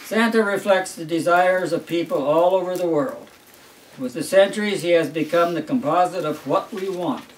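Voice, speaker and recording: A man's voice: high-pitched, normal speed, slight background noise. An older man, a grandfather, reads a Christmas story aloud at a family home in a wry, amused storytelling manner. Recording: roomy, distant home recording.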